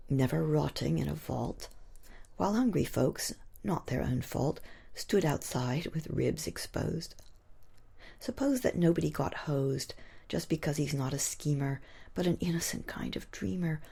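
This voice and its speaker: A woman poet reciting her own verse expressively, with a husky, breathy, gravelly voice and theatrical, deliberate emphasis on the rhymes. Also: deep voice, warm tone. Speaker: poet reading own work.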